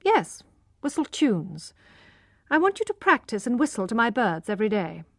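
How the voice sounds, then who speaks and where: A woman's voice: on the high side, very animated, normal speed, no echo, studio quality. A woman reading a storybook aloud, voicing a cold, grand old blind lady over 60. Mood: imperious. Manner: cold, imperious.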